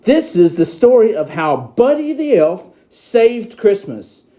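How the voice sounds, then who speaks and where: A man playing an excitable, childlike Christmas elf who reads a storybook to children with slow, theatrical delivery. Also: high-pitched, exaggerated voice. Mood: cheerful, earnest.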